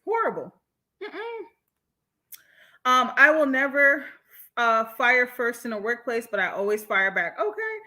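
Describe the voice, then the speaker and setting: A woman with an American accent hosting a casual livestream, reading and answering viewer comments in an animated, candid, slangy way. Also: fairly high pitch, unhurried, pauses often. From born in Jamaica, moved from the United States. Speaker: livestream host.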